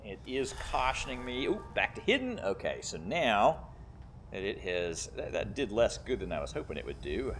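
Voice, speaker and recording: A man's voice: fairly low pitch, sing-song, moderate pace, faint music behind. A man giving casual video game commentary, thinking aloud and explaining as he plays. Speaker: gamer playing and commentating.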